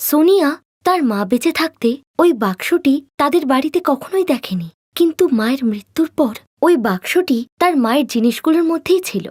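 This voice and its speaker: A woman's voice: high voice, a little mellow, no room sound, clean studio sound. A woman reading scripted narration for a cartoon fairy tale as its storyteller. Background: faint music.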